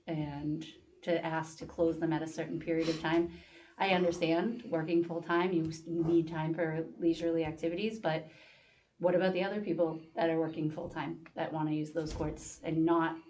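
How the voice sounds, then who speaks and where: A woman on a town commission, asking questions at a public meeting with many pauses and ums. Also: sits low, a little mellow, dull audio. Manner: hesitant, apologetic, many ums. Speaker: commission member.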